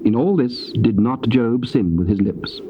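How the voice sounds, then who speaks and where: A man with a British accent narrating scripture in a solemn, measured, scripted manner. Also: medium pitch. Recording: dull hissy cassette tape.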